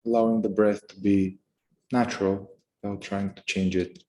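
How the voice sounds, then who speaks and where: Male meditation guide, lower-pitched voice, calm and unhurried, unscripted instruction with space between phrases.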